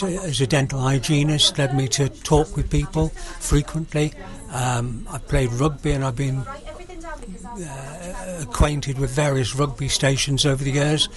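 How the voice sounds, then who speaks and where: A man in his sixties from South Wales with a Welsh accent, chatting earnestly as a guest on hospital radio. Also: mid-range voice. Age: 60s. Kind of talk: casual radio interview. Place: busy room at a radio party. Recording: crowd chatter in the room. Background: crowd chatter.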